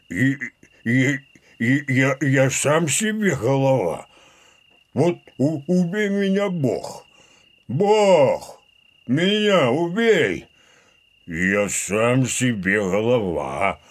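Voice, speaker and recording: An actor playing a tipsy middle-aged village Cossack, stubborn and boastful, muttering in a slurred, halting way in an audio drama. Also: male voice, mid-range voice. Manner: slurred, halting drunken muttering with repeats and exclamations.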